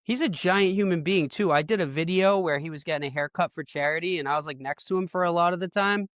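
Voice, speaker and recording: A middle-aged American man hosts a podcast in a loose, slangy, conversational style. His voice is smooth and clean, pitched a bit high for a man. The sound is dry, without room echo. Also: fast, fairly bright tone. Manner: informal, slangy. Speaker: podcast host. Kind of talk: casual podcast chat.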